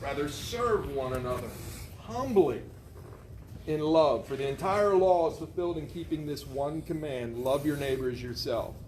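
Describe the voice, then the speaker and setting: A man leads a room in prayer, reading scripture and then praying earnestly in a somewhat high voice. A faint layer of noise sits behind him. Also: expressive, moderate pace, a little mellow. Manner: earnest, devotional. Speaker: prayer leader.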